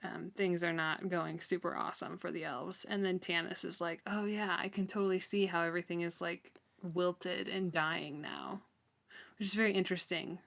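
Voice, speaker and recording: A woman's voice: lowish voice, steady tone, normal speed, vocal fry, a bit bright. Casual, giggly woman co-hosting a podcast. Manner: giggly, casual, informal phrasing. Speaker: podcast co-host. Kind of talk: casual book discussion.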